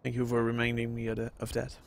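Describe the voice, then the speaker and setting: Man in his thirties, Quebec French accent, gravelly voice, rambling casual game commentary. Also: medium pitch, completely flat delivery, fast. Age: 30s. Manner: rambling with many uh fillers, reading comments. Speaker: gaming video host. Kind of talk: casual let's-play commentary.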